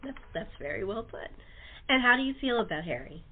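A woman's voice: a little high, sing-song, a little fast, slight background noise. A woman talk show host chatting casually and enthusiastically with her guests. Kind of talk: casual interview chat. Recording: tinny, narrow phone-line sound.

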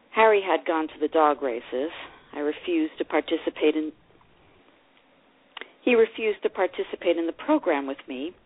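An actress plays a reflective older divorced woman telling her memoir, tender and easily hurt. Her voice is very low for a woman and moves expressively. It comes dry and thin, as through a phone or small radio. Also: no room sound, phone-quality sound. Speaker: actor reading a memoir. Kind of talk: dramatized first-person memoir reading.

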